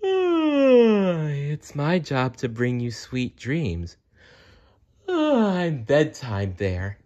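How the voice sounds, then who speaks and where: A man voicing a sleepy, gentle bedtime teddy bear from a storybook, with drawn-out, sighing, drowsy speech. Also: fairly high pitch, wildly swooping pitch, muffled recording, pitched above the performer's own voice, heavily acted. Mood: drowsy, sweet.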